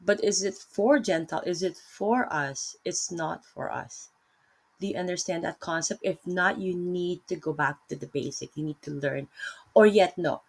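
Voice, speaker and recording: A woman with a Filipino accent giving a casual Bible talk on her laptop, rambling and correcting herself with lots of fillers. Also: sits low. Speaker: vlogger teaching Bible study.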